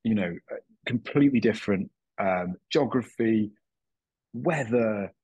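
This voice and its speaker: Guest, man in his 30s from Manchester; low, husky, easygoing, self-mocking. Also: no room sound, dull audio. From Manchester, England. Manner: relaxed, self-deprecating, halting with fillers and restarts. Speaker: guest, documentary maker. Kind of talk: casual interview chat.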